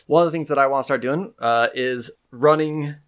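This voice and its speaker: A man's voice: mid-range voice, a little fast, smooth. A young man hosting a podcast, chatting casually and enthusiastically, rambling with lots of fillers. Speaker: podcast host and game master. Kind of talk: casual chat.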